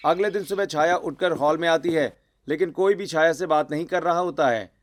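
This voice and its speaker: A man reading scripted narration for an animated family drama story. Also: on the high side, fairly quick. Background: music.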